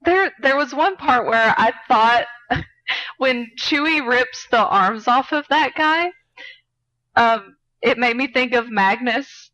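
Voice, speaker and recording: A woman with a distinctly high-pitched voice co-hosts a relaxed film chat. She speaks informally, chipping in with brief, playfully teasing comments. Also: slow, muffled recording. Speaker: podcast co-host. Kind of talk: casual film review chat.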